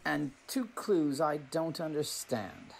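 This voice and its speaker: A man's voice: on the high side, slow, gravelly. A man playing a fatherly police inspector in an old-time radio drama, incredulous and emphatic. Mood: puzzled. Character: questioning police inspector, father of the young detective. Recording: noisy amateur radio play recording.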